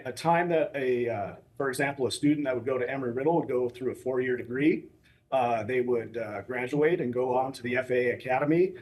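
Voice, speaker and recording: An American man speaking as an academic expert in a news interview, explaining things in a measured, hesitant way. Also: mid-range voice, darkish tone. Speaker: assistant professor of air traffic management, expert guest. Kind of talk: live news interview answers.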